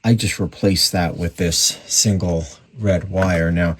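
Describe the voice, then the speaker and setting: A man's voice: sits low, fairly even intonation, slow, background noise. A man giving casual hands-on commentary on a repair, musing and thinking aloud as he works indoors at a bench. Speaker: radio repairer presenting a restoration.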